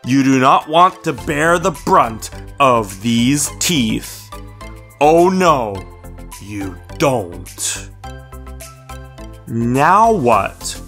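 Man voicing a slow, grumpy, dramatic storybook cat. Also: mid-range voice, soft background music, heavily acted. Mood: panicked, defiant. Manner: deadpan grumbling with melodramatic outbursts. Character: grumpy, dramatic, easily spooked house cat. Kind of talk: children's storybook read-aloud.

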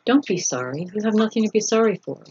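A woman's voice: medium pitch, lively intonation, quick pace, warm tone, slightly rough, pitched above the performer's own voice. A woman reading the part of a caring, protective man in a story, reassuring and firm, on a muffled recording. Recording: dull lo-fi sound with faint noise.

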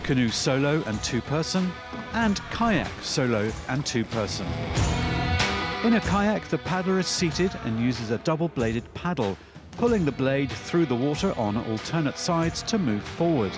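A man's voice: on the high side, big pitch swings, measured pace. A man reading scripted narration for a sports documentary. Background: background music.

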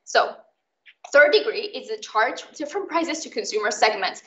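A woman's voice: fairly high pitch, animated, moderate pace. A young woman from Mexico teaching an informal online lesson, chatty and explaining with everyday examples. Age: young adult. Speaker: student tutor leading an exam recap. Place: indoors, online video call. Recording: muffled, roomy online call recording.

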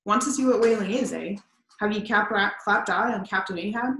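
A woman doing a gruff old sea captain. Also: mid-range voice, moderate pace, fairly bright tone, echoey room, slight background noise, close to an everyday voice. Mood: gruff blustering. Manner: blustering, teasing, archaic speech.

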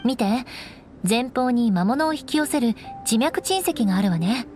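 A woman's voice: on the high side, animated, a little slow, a little mellow, faint music behind. A young woman acting a proud, composed noblewoman knight in an anime game, strict but caring, with elegant, instructing delivery. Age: young adult. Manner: poised, elegant, haughty, instructing.

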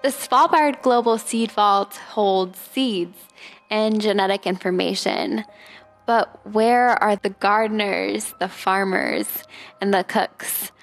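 A young woman narrates a documentary in a calm, measured voice. She takes her time over each phrase, and a gravelly fry runs through her speech. Quiet music plays beneath her. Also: mid-range voice. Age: young adult.

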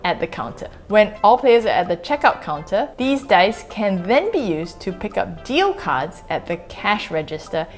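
A woman in her forties from Singapore, hosting a family board game channel with clear, measured, upbeat scripted narration recorded in a living room. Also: medium pitch, big pitch swings, faint music behind. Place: home living room, indoors.

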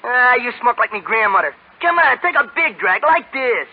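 A man's voice: extremely high pitch, sing-song, moderate pace, breathy edge, full character voice. An actor playing a cocky, tough-talking boy with slangy wise-guy talk, in 1930s radio style. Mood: cocky, sneering. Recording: muffled 1930s radio broadcast.

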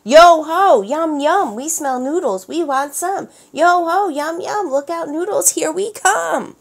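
A woman voicing a crew of hungry, boisterous male pirates who chant loudly in a singsong. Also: extremely high pitch, big pitch swings, brisk, voice pushed up, exaggerated voice. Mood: rowdy chant.

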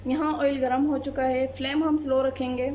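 A woman, narrating a home cooking recipe step by step in a calm voice-over as channel host. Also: sits high, normal speed, slight background noise.

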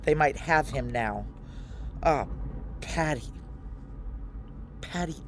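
A woman's voice: low voice, animated, takes it slowly, background noise. An actress playing a tough, guarded, suspicious woman on the run in a noir audio drama, speaking in clipped, hard-boiled phrases. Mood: grim, tense. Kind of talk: noir audio drama acting with first-person narration.

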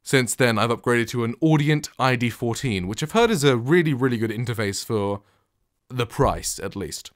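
A young man with a deep voice chats to camera, rambling and breaking off to correct himself. He sits close to a studio mic in a small foam-lined home studio. The sound is dry, with no room echo at all. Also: moderate pace. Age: young adult. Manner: chatty, rambling, self-correcting. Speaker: vlogger comparing microphones. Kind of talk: casual talk to camera. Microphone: studio condenser and shotgun mics close up, reflection filter.

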